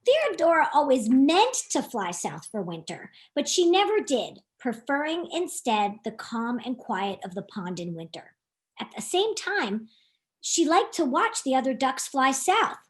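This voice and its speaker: Very high-pitched, animated woman reading a storybook. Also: unhurried. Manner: expressive, playful, addresses young listeners with questions and asides. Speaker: author reading her own children's book.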